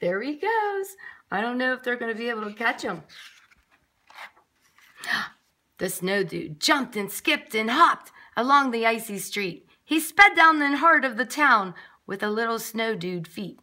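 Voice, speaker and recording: A woman's voice: on the high side, lively intonation, measured pace, sharp tone. A woman nursery teacher reading a picture book aloud expressively, with chatty asides to young children. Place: classroom, indoors.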